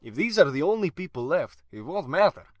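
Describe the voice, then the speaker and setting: A man playing a gloomy, practical Russian crewman, grave and deliberate, in an old-time radio comedy. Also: a little high, big pitch swings, no room sound, clean studio sound.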